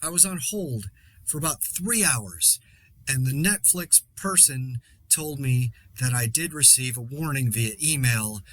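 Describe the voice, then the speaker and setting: A man's voice: medium pitch, animated, measured pace, fairly bright tone. A man playing an exasperated podcaster in a zombie outbreak, delivering a dry, sarcastic, rambling solo monologue in a conversational way. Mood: exasperated, ranting. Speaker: podcast host.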